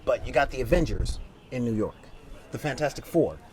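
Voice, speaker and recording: A man talking casually on a fan panel, opinionated and lively, acting out quotes as he argues his points. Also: mid-range voice, a little fast. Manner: opinionated, animated, acts out quotes. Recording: convention panel with crowd noise.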